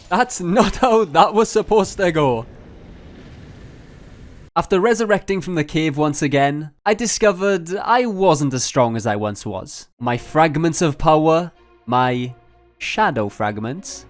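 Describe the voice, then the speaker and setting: Higher-voiced man, mock-grandiose gaming narrator. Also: expressive, slow pace, soft background music. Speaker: gaming video creator narrating a challenge run. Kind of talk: scripted gameplay commentary with jokey asides.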